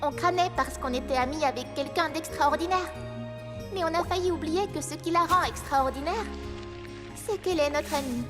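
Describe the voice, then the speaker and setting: A woman voicing eager little pony schoolchildren in a French cartoon dub, with a childlike, expressive delivery. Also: sits high, moderate pace, music in the background, close to an everyday voice. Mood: reflective.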